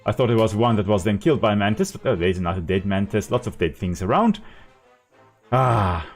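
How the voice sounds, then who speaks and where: Chatty South African man, lively voice. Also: lowish voice, fairly quick, soft background music. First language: Afrikaans. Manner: chatty, laughs easily, explains as he plays. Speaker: game streamer and ecologist. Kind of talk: casual live-stream commentary.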